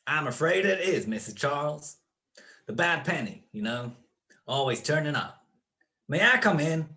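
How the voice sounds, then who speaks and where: A man's voice: fairly high pitch, animated, a little slow, bright, forceful tone. A man playing a suave gangster boss in a radio crime drama, mock-courteous and taunting. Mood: smugly menacing. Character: suave, gloating male gangster boss with a gun.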